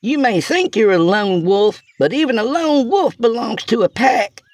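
Brash, scrappy storybook country woman, acted by a man, high-pitched with creaky edges, bossy and sarcastic. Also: sing-song, normal speed, dry recording, clean studio sound, full character voice. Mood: insistent. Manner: folksy, bossy, insulting.

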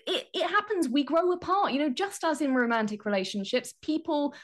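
Woman w a highish voice chatting on a radio show, giving advice, pretty animated. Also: fairly quick. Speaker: regular guest giving friendship advice. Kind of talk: conversational radio discussion.

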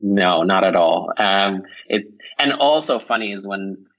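A man's voice: deep voice, animated, measured pace, muffled recording. A man from Finland, a company founder speaking as a guest in a casual interview. He rambles a little, with many fillers and restarts. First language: Finnish.

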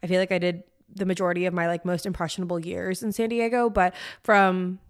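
A woman's voice: fairly low pitch, flat delivery, fast talker, smooth. A young woman hosting her own podcast, chatting bubbly and casually, often repeating words for emphasis. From East Coast of the US. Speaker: podcast host. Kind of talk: casual chat.

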